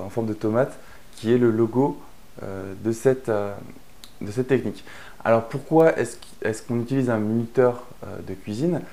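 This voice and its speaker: Mellow-voiced French man teaching a course. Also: medium pitch. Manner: chatty, many fillers and restarts. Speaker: online course instructor. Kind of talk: explanatory course narration.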